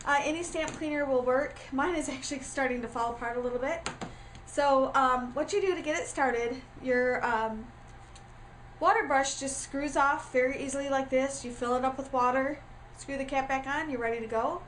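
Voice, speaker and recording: Woman, high voice, congested from a cold, chatty and full of filler words, camera-mic sound with faint ambience. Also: moderate pace, fairly bright tone, slight background noise. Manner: chatty with frequent ums and uhs. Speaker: craft tutorial host. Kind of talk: casual craft tutorial.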